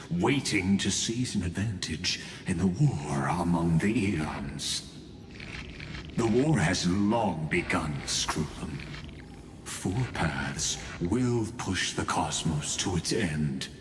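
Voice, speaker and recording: A man playing a cold, calculating villain in a video game, speaking in a measured, ominous and deliberate manner. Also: low-pitched, slight background noise. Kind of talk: scripted game voice acting. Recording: echoing voice over game music.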